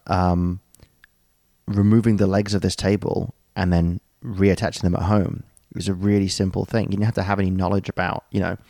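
A man with a very low voice rambles through a casual story, filling pauses with ums and tossing in jokey asides. The sound is dry and close, with no room around it. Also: darkish tone, no echo. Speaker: podcast co-host. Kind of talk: casual chat.

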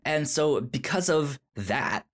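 A man talks casually as a film podcast co-host in an informal, rambling way. His speech is halting, with a stutter that repeats sounds and syllables before words come out. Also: on the high side, lively intonation. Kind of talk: casual movie discussion.

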